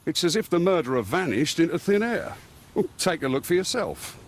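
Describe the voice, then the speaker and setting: Higher-voiced, animated British police inspector. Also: male voice, a little fast, a little hiss or hum. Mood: assured. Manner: assured, dismissive, explanatory. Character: confident Victorian police inspector, man, skeptical, matter-of-fact. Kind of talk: scripted video game acting.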